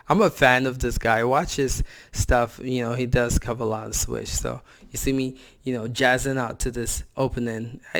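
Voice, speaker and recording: A man hosting a gaming reaction video, hoarse from a cold, talking in a blunt, slangy, casual way. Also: medium pitch, fairly bright tone, a little hiss or hum. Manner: blunt, slangy, scolding the other creator. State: hoarse from a cold and sore throat. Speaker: reaction channel host.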